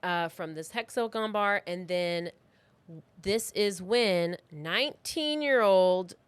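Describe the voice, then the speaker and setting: An American woman with a smooth, clear voice recorded close and dry. She hosts a true-crime podcast and tells the story casually, in a chatty, conversational style. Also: fairly low pitch, dry recording. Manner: chatty, informal, frequent "like". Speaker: podcast host.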